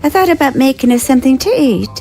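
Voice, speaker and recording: A bold, teasing alien woman played by an actress speaks in a sultry, mellow voice with a dark warmth. Her voice sits fairly high, and she needles playfully. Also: animated, fast, faint music behind. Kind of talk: audio drama acting.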